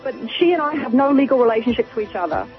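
A woman with a British accent, calling in to a radio talk show and speaking in an earnest, measured way. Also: a little high, expressive, music in the background. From Britain. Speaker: caller. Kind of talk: phone-in call. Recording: tinny phone line on radio.

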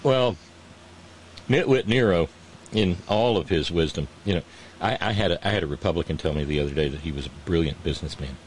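A man's voice: deep voice, fairly quick, slight background noise, low-quality recording. A man hosting a casual political chat, sarcastic and mocking, often restarting his sentences. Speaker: podcast host.

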